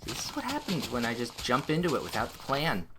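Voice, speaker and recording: A man's voice: mid-range voice, big pitch swings, brisk. A man with a nasal, slightly whiny voice, giving rambling, self-deprecating commentary as he plays a game on stream. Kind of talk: casual gameplay commentary. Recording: loud noise with keyboard clicks.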